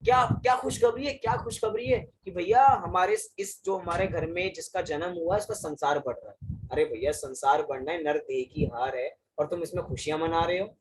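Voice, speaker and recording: A man's voice: fairly high pitch, moderate pace, slight background noise, rough recording. A man giving a religious discourse on verses, asking the audience questions, answering them himself and repeating phrases for emphasis. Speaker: Jain scholar giving a discourse.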